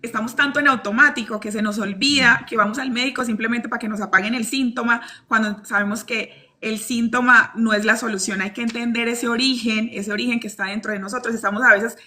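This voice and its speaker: Bright-voiced Colombian woman host. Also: a little high, steady tone, brisk, few pauses. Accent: Colombian Spanish. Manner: enthusiastic, laughs easily. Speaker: interviewer, host. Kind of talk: conversational interview.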